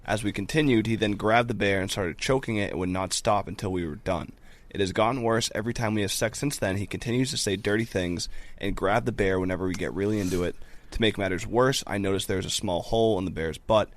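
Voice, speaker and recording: A man's voice: lowish voice, fast talker. A man on a podcast reading listener questions aloud in a casual style.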